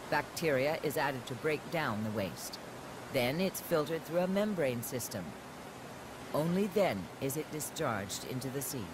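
A man doing measured, scripted narration for a documentary voice-over. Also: a little high, background noise. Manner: measured, explanatory. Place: studio voice-over. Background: music.

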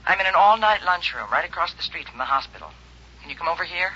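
An actress playing a guarded young widow, speaking urgently over a telephone in an old radio drama. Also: female voice, lowish voice, expressive, fast talker, bright, forceful tone, breathy edge, heavily acted. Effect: telephone filter. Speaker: murder suspect calling the detective. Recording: dull old radio recording.

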